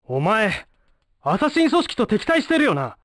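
A man voicing a cocky, hot-headed young ninja with a brash, rough manner in an anime fighting game. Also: sits high, huge pitch swings, moderate pace, fairly bright tone, no room sound, clean studio sound, exaggerated voice. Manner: brash, rough street slang, combative.

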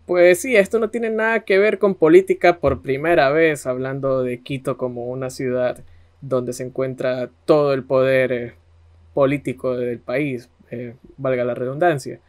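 A man's voice: mid-range voice, fairly even intonation, moderate pace. A man with an Ecuadorian accent hosting a podcast, narrating history in a conversational style. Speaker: podcast host.

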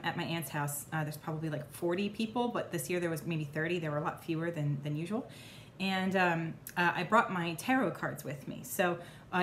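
Chatty woman with a slightly hoarse voice. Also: fairly low pitch, fairly bright tone, a little hiss or hum. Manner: chatty and rambling with many fillers. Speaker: vlogger. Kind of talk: casual chat to camera. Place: home kitchen, indoors. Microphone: phone or camera at arm's length.

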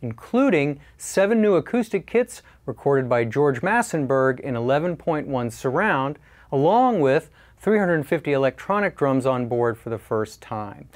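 A man in his forties giving a scripted product review in a measured, clearly articulated presenter style. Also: medium pitch, sing-song.

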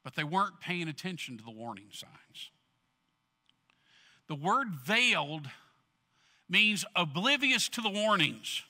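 Middle-aged pastor man preaching, high bright voice, long church reverb. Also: sing-song, a little slow, pauses often. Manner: earnest preaching, swells loud then drops low. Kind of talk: sermon. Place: large church stage.

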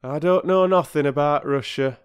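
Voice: medium pitch, moderate pace, smooth, voice pushed up, lightly acted. A narrator voicing an indignant working-class railway porter from a children's storybook, lofty and aggrieved, with ungrammatical speech. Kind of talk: children's storybook character reading.

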